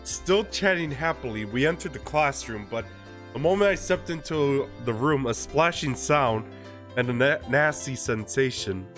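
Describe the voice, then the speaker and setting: A young man with a stuffy, congested voice from a cold, reading a visual novel aloud on a live stream in a relaxed way, with frequent laughs and fillers. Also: medium pitch, normal speed, soft background music.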